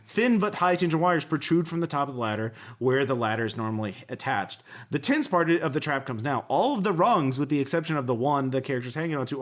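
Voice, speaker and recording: A man hosting a casual tabletop gaming podcast, sometimes reading rulebook passages aloud and sometimes talking conversationally. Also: mid-range voice. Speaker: podcast host.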